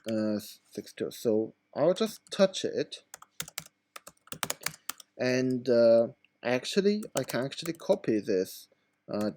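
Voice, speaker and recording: Hoarse man explaining a computer tutorial. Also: mid-range voice, mellow, a little hiss or hum. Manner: halting, informal explanation with many fillers. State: hoarse, losing his voice. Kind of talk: screen-recorded tutorial.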